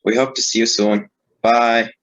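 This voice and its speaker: A man's voice: medium pitch, steady tone, moderate pace, muffled recording. A young man from Saudi Arabia, a student interviewer asking questions briefly and in a friendly way.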